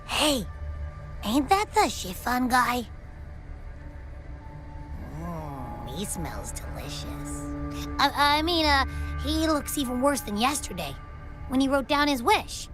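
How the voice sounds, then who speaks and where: An actress playing a small, cheeky, excitable companion creature in a video game, informal and blurting. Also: female voice, high-pitched, takes it slowly, pauses often, faint music behind, exaggerated voice, rough recording. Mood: curious, flustered.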